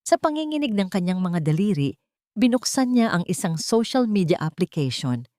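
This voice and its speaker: Expressive low-voiced woman narrating a dramatic story. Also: no room sound, clean studio sound.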